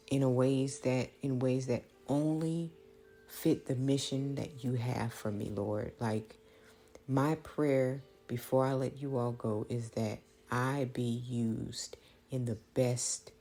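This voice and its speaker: A woman, thinking out loud in a reflective, prayerful monologue, halting and earnest as she repeats phrases. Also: rumbling low, fairly even intonation, faint music behind. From small-town Louisiana, United States. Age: late 30s. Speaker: podcast host.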